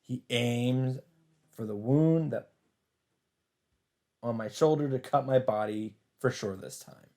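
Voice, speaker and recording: A man's voice: mid-range voice, slow pace, long pauses, warm tone. A man doing a dramatic read-aloud as host of a visual novel playthrough. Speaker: let's play host reading aloud. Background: faint game music.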